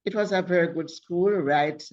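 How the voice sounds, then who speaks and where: A woman from Jamaica with a Jamaican accent, a guest author telling her background in a measured way, listing many details. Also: fairly low pitch, darkish tone, lo-fi sound. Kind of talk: interview.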